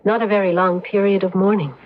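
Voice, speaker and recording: A woman's voice: mid-range voice, steady tone, quick pace, a little hiss or hum, lightly acted. Actress playing a seductive scheming witch, lo-fi. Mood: teasing, evasive. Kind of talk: old-time radio drama acting. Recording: dull lo-fi radio drama recording.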